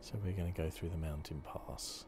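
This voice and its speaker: A man doing soft-spoken, relaxed, chatty ASMR commentary as he plays a video game. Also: extremely low pitch, a little fast. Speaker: gaming channel host. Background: video game ambience.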